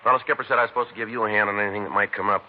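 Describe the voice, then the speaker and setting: An actor playing a terse police detective sergeant who narrates a 1950s radio crime drama in a flat, clipped, deadpan way. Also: male voice, on the low side, very fast, close to an everyday voice. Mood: calm. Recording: lo-fi vintage radio broadcast with noise.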